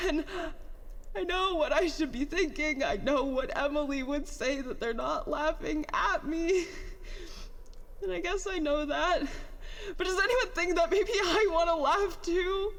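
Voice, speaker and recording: Very high-voiced, tearful young engineer played by a woman. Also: fairly bright tone, full character voice. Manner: rambling, halting, sarcastic, self-deprecating. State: crying, voice breaking with sobs. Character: tearful, self-loathing young engineer spiraling into bitter despair. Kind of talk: audio drama monologue, recorded log. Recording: long reverb, music underneath.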